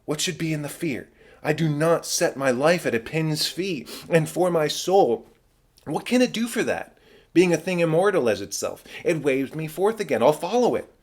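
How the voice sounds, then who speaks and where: A man's voice: fairly high pitch, animated, moderate pace, a little mellow, pitched above the performer's own voice. Man acting an impassioned, headstrong young prince. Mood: defiant. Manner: theatrical, emphatic, declamatory. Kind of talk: dramatic Shakespeare audiobook reading.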